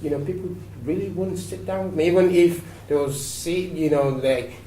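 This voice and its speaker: A man from Nigeria, a guest on a talk show, telling stories in a relaxed, reflective way with many fillers. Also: a little high, normal speed. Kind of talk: interview answers. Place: TV studio. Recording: roomy echo, mic at a distance, faint noise.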